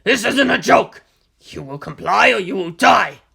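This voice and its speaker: A narrator voicing a gruff, aggressive male special forces team leader in an audiobook story, growling out commands. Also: medium pitch, expressive, a little slow, crowd noise, pitched above the performer's own voice. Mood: furious shouting. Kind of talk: dramatic audiobook character voice.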